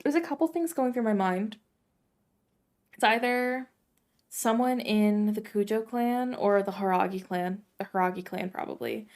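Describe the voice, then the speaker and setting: A woman's voice: medium pitch, darkish tone. A woman streaming a game, giving casual, musing reaction commentary peppered with "like".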